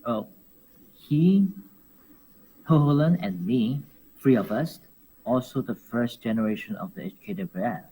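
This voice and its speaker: Man, lively chat. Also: medium pitch, a little slow, pauses often, slight background noise, low-quality recording. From Hong Kong. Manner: animated, laughs often, repeats words while searching for phrasing. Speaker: professional wrestler guest. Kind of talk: casual interview chat.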